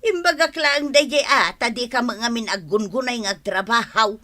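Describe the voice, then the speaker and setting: An actress playing a suspicious, nagging wife in a Filipino radio drama in Ilocano, questioning and scolding. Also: female voice, fairly high pitch, moderate pace, bright, forceful tone.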